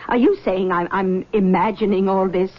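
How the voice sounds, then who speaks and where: A fretful middle-aged mother pleads with the doctor about her daughter, anxious and protective, as an actress plays her. Her voice sits at an ordinary pitch. It comes through the muffled, lo-fi sound of an old radio play. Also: steady tone, moderate pace, lightly acted. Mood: worried. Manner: worried, pleading. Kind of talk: 1970s radio drama acting. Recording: dull old radio broadcast.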